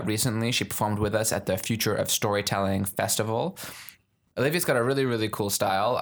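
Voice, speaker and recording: A man's voice: fairly low pitch, vocal fry, a bit bright. Upbeat man podcast co-host. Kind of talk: casual podcast chat, introducing guest.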